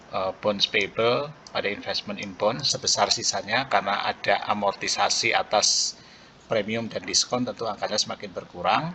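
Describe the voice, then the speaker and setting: A man giving an explanatory lecture in a halting way, pausing mid-phrase, on a muffled recording. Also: on the low side, pushed and bright, a little hiss or hum. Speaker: accounting lecturer. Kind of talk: explanatory lecture walking through worked journal entries.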